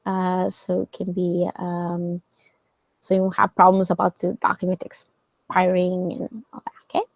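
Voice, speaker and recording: A woman's voice: lowish voice, monotone, a little slow, no room sound, dull audio. A woman hosting a live question session, explaining casually with many fillers and "right?" checks. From Portugal. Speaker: host answering viewer questions.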